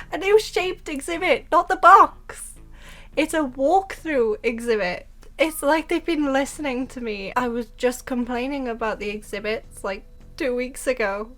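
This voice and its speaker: Excitable Welsh woman, game commentary. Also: sits high, slow pace. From Wales. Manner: excitable and chatty, then calm explaining. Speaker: game content creator. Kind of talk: casual gameplay commentary.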